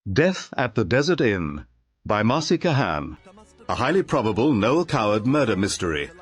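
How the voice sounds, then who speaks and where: A man announcing the scripted credits of a radio play in a husky voice. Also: lowish voice, big pitch swings, normal speed, no echo, soft background music.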